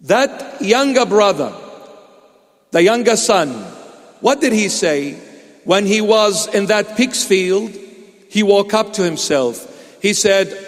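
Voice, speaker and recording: A man preaches with a slow, measured pace and a high-pitched voice. He leans on repeated rhetorical questions and throws in light teasing asides. His words ring through a church hall with a long reverb. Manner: emphatic, repetitive rhetorical questions, playful asides. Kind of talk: sermon.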